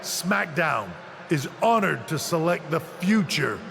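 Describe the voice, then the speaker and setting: A man's voice: on the high side, animated, slow pace, vocal fry, a bit bright, exaggerated voice. A man playing a formal wrestling executive making a ceremonial, suspense-building arena announcement in a game cutscene. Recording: echoing arena PA with faint noise.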